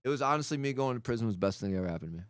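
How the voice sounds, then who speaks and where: A man, rambling and animated as he tells stories in a casual podcast chat. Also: medium pitch, rapid-fire, clean tone, no room sound, studio quality. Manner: rambling, animated, restarts a lot. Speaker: podcast guest, cannabis advocate.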